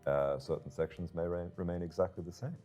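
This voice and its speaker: Middle aged game dev guy presenting, super deep voice, quiet playback with music behind. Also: steady tone, brisk, muffled recording. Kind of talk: promotional presentation.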